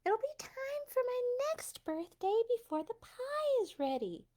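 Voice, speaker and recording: Storybook cat, female reader: high, whiny, impatient, easily offended male pet. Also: big pitch swings, measured pace, dark tone, exaggerated voice.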